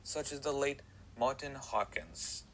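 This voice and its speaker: A man playing a prison psychiatrist who asks measured, inquisitive questions in an audio drama. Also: mid-range voice, lively intonation, a bit bright, a little hiss or hum, poor audio. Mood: inquiring. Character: male prison psychiatrist, probing and polite. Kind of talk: fan audio drama acting.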